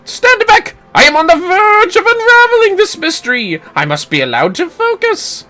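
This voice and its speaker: A performer voicing a pompous, theatrical gentleman inspector with grand, archaic, florid delivery in a video game read-aloud. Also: very high voice, big pitch swings, normal speed, faint music behind, voice pushed up, full character voice. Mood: dramatic.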